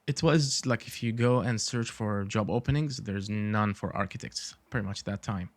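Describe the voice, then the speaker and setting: A man's voice: fairly low pitch, normal speed, dry recording. A man from Iraq, an architect in his forties, chatting as a podcast guest, with many fillers and dry humor. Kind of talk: casual interview talk.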